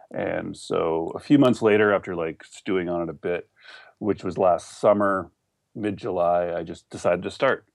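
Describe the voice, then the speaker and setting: Podcast guest: male, Canadian accent, lowish pitch, dry close sound, informal rambling storyteller. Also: dry recording. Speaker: podcast guest, online entrepreneur. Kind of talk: casual interview chat.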